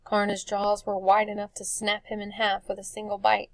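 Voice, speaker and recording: Creaky-voiced woman narrating a story. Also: medium pitch, monotone, moderate pace, no room sound. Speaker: audiobook narrator. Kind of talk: expressive scripted narration of a children's dark fantasy story.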